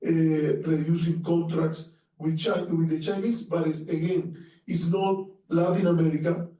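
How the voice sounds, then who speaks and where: A man's voice: fairly high pitch, flat delivery, warm tone. A man from Cuba with a Cuban Spanish accent, speaking earnestly at an academic seminar, often restarting his phrases. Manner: earnest, halting with restarts and fillers. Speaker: panelist. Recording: echoey room, mic far off.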